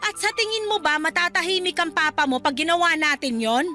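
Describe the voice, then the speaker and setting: Long-suffering Filipino mother, protective peacemaker, radio drama, very high, bright, pleading delivery. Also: brisk, faint music behind. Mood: reproachful. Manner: pleading, scolding.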